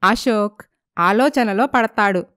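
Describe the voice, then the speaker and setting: Clear-voiced female storyteller, close and dry. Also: mid-range voice, takes it slowly, a bit bright, no room sound. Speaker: narrator. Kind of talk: scripted story narration.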